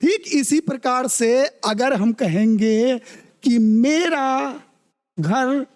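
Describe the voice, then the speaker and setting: A man's voice: extremely high pitch, darkish tone, long reverb tail. A man teaching spoken English in Hindi, drawing out his words and constantly asking the class whether they have understood. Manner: slow, drawn-out words, constantly checks understanding with questions.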